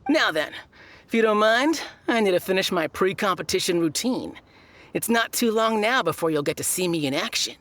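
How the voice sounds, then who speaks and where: A woman's voice: mid-range voice, expressive, normal speed, fairly bright tone. A woman voicing a smug, boastful tournament contestant in a video game who is sure of her own perfection. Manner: boastful, self-satisfied.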